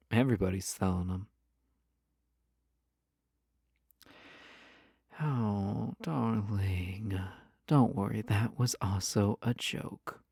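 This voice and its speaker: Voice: low-pitched, mellow, no echo, clean studio sound, exaggerated voice. A man playing a flirty, playful, obsessive yandere spouse in an intimate audio roleplay, teasing the listener with suggestive lines and whispered asides.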